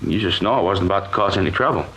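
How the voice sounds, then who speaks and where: A man's voice: deep voice, rapid-fire, fairly bright tone, rough recording. A male actor playing a nervous, colloquial American kitchen worker giving a witness account in a 1950s radio crime drama. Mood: anxious recounting. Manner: hesitant, colloquial, defensive. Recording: old radio recording with background hiss.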